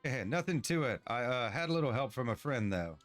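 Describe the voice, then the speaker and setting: A man's voice: medium pitch, expressive, fairly quick, fairly bright tone, faint music behind, natural voice. A man voicing an eager, awkward, proud young dwarf inventor in a fantasy game, with hesitant, folksy delivery. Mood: bashful. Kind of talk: storybook-like game dialogue read aloud.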